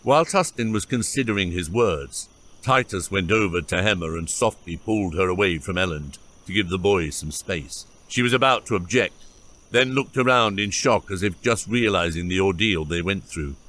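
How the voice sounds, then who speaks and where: A man's voice: deep voice, moderate pace, fairly bright tone. A man reading scripted narration for an epic fantasy audiobook.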